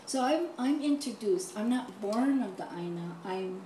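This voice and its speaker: An older woman from Hawaii speaking reflectively at an audience mic, in halting, deliberate and solemn phrases. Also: medium pitch, expressive, dark tone, soft background music. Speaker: audience member asking a question. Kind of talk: reflective personal speech at an open mic. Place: large echoey room, event audience mic. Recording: echoey, distant mic, noisy room.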